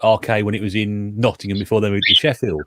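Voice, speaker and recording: A man, speaking in a measured way in a casual video-call chat. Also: fairly low pitch, level tone, fairly bright tone. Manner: measured, lots of "you know".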